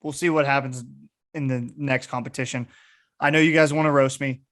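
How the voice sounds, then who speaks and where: A man's voice: mid-range voice, fairly even intonation, a little fast, a bit bright. A young man hosting a casual sports podcast, chatting in a playful, bantering way. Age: young adult. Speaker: podcast host.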